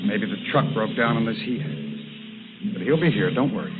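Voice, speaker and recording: An actor playing a calm, caring American country doctor, reassuring in an old radio drama. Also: male voice, on the low side, normal speed, natural voice. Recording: narrow vintage radio, music behind.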